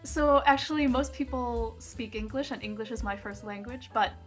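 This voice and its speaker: A young woman from Singapore, a pianist being interviewed, talking in a hesitant, soft-spoken way with many pauses. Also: a little high, faint music behind. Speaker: guest pianist. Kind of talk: casual interview chat.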